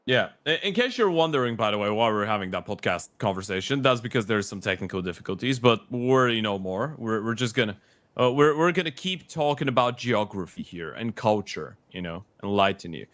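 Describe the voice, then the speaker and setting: A man casting an esports tournament, filling a delay with relaxed, easygoing chat. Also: fairly low pitch, fairly quick, no room sound. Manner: relaxed, easygoing, lots of fillers. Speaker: esports caster. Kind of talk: filling airtime with casual chat.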